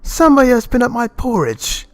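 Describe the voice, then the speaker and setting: A man's voice: very high voice, big pitch swings, brisk, no room sound, pitched above the performer's own voice. A man voicing an indignant, middle-sized mother bear in a children's storybook reading.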